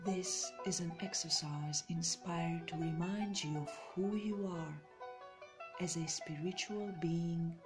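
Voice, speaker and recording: Slow-speaking woman guiding a meditation. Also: lowish voice, steady tone. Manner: soothing, measured, deliberate phrasing with pauses. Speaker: meditation guide. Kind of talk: guided meditation narration. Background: meditation music.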